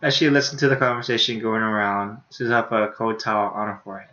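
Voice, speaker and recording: A man's voice: fairly low pitch, fairly even intonation, quick pace, sharp tone, muffled recording. A young man streaming, casually reading a visual novel aloud with offhand asides.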